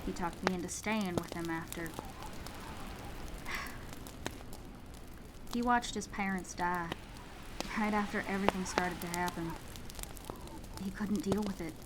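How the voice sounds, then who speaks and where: Woman acting slow, hesitant widowed mother, rain noise. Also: lowish voice, natural voice. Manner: halting, hesitant. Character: hesitant, subdued widowed mother, apocalypse survivor. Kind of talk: comic dub voice acting, horror drama. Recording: noisy track with rain behind.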